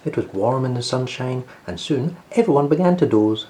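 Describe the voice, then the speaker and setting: A man narrating a children's storybook as host, in an expressive read-aloud style. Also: mid-range voice, moderate pace.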